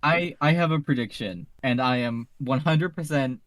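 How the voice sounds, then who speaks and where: A man's voice: mid-range voice, moderate pace, no echo. A man speaking as a podcast panelist in a casual fan discussion, earnest and emphatic, repeating phrases for stress.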